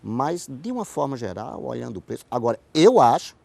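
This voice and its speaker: A man's voice: medium pitch, very animated, brisk, creaky, darkish tone, no room sound. A man with a Brazilian Northeastern accent explaining his views emphatically in a conversational interview, often checking that he is understood. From Pombal, Paraíba, Brazil. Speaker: interview guest, playwright and theater director. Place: outdoor hotel terrace.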